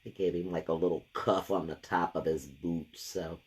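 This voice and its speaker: A woman hosting a live craft painting session. She has a deep, gravelly voice left over from a cold, and she chats and thinks aloud while she works. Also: fairly even intonation, normal speed, darkish tone. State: lingering gravelly voice after a cold. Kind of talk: casual live painting demo.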